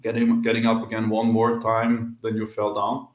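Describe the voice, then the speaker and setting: A man's voice: fairly low pitch, completely flat delivery, normal speed, echoey room, rough recording. A man from Austria with an Austrian German accent, a podcast guest speaking English as a second language, talking thoughtfully and candidly with frequent hesitations. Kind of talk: casual interview chat.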